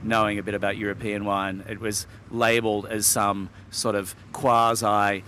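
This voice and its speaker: A middle-aged man from Sydney speaks in a fairly low voice. He is articulate and a little wordy, with hesitant fillers and small laughs. A faint noise sits under the recording. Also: little pitch movement, normal speed, bright, forceful tone. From Sydney, Australia. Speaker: wine expert guest. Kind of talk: relaxed interview talk.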